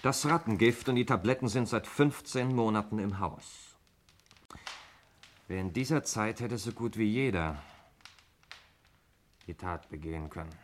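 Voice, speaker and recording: A male actor as a sceptical nephew in a vintage radio murder mystery. His voice is fairly low, with pointed, probing phrasing. The old recording carries a little noise. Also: a little slow, pauses often, natural voice. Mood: sober. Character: sceptical nephew questioning a police inspector. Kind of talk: 1960s German radio crime drama acting.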